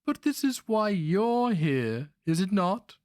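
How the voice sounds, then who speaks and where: A man voicing an aged, frail, haughty grandmaster sorcerer in a dramatic fantasy reading, hissing slowly with sly menace. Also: high-pitched, big pitch swings, darkish tone, no room sound, clean studio sound, voice pushed up, heavily acted. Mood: scornful insinuation. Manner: hissing, slow and deliberate.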